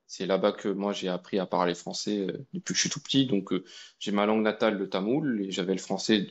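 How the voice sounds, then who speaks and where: Relaxed young man, low voice. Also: fast, muffled recording. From India. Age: young adult. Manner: relaxed, colloquial, many fillers and restarts. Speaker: guest, AI researcher. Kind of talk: casual conversation.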